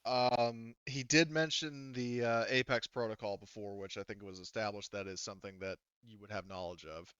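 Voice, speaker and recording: Male game master, crisp bright voice, casual and hesitant explanations, slightly dull dry recording. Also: fairly low pitch, steady tone, normal speed, few pauses, no room sound. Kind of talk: casual tabletop game narration.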